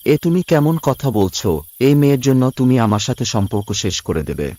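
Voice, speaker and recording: A man's voice: mid-range voice, sing-song, moderate pace, mellow, no room sound, close to an everyday voice. A man voicing a harsh father in a cartoon who scornfully rejects his daughter.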